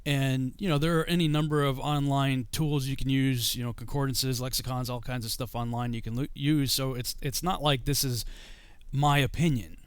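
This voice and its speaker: A man's voice: mid-range voice, fairly even intonation, moderate pace, smooth, dry recording. A middle-aged man on a video call from his home study, explaining things in a loose, rambling way with many fillers and restarts. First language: English. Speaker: guest explaining scripture.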